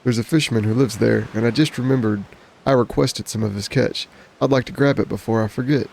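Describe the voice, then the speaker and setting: An actor playing a helpful, kindly pirate ship's cook in a video game, speaking politely and considerately. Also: male voice, mid-range voice, brisk, vocal fry, darkish tone, slight background noise, lightly acted.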